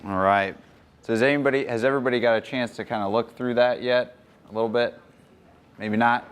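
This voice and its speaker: A man in his thirties giving a relaxed, chatty conference presentation in a hotel conference room. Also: medium pitch, fairly quick, clear, resonant tone. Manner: relaxed, chatty explaining with fillers.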